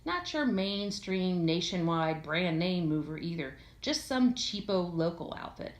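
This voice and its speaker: A woman's voice: on the low side, lively intonation, a little slow. Woman reading a story in an echoey room. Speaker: audiobook reader. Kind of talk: expressive first-person story reading.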